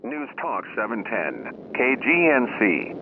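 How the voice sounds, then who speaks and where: A man reading a scripted emergency announcement as a radio station announcer, in a formal, measured manner. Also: lowish voice, huge pitch swings, vocal fry. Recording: dull lo-fi radio sound, loud noise.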